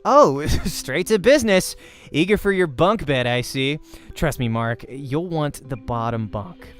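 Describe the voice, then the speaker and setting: A man voicing a cocky, jokey young male pro gamer in a visual novel, with a breezy, teasing, animated delivery. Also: fairly high pitch, measured pace, soft background music.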